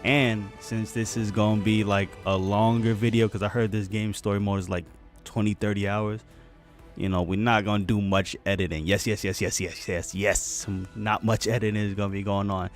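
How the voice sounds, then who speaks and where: A man with a low voice chats casually as a gaming channel host. He introduces a playthrough in an informal way, with faint music underneath. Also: flat delivery, normal speed.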